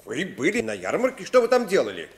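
A man's voice: on the high side, wildly swooping pitch, quick pace. A man playing a haughty, scandalised English lord with an imperious theatrical manner in a radio play. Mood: outraged. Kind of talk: 1950s Russian radio play acting.

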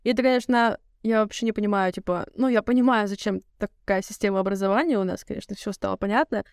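Fast-talking young woman, casual podcast chat. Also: medium pitch, fairly even intonation, a little mellow, no echo, clean studio sound. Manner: rapid, filler-heavy slangy talk. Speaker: podcast co-host.

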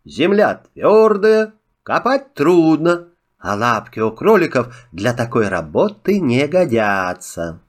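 A man narrating a children's fairy tale filmstrip in a measured, expressive storytelling manner. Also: medium pitch.

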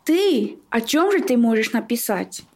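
A woman plays a giggly, affected young lady in a radio play. Her voice is high and very animated, with a coquettish, mocking, shrieky edge. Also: unhurried, heavily acted. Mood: teasing, scornful. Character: giggly, teasing, affected young unmarried daughter.

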